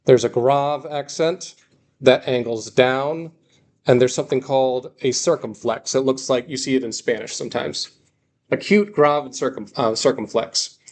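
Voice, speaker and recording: A man with a mid-pitched voice teaches a class in an informal lecture style. He is reassuring, stresses key points by repeating them, and keeps checking that listeners follow. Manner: reassuring, often checks understanding with "okay" and "right". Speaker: language teacher.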